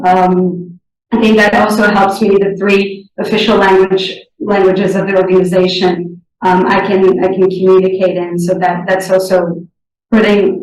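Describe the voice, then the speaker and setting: Young woman, Portuguese native speaker, flat even delivery, deliberate, very echoey room, far from the mic. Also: medium pitch. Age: young adult. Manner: deliberate, often pausing to search for words. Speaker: interviewee, board candidate. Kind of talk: interview answers.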